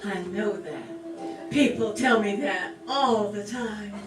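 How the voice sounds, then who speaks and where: A woman's voice: medium pitch, distant mic, close to an everyday voice. A woman doing a coy old-west dance-hall girl. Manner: coy, drawn-out, vain. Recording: roomy stage from afar, live music.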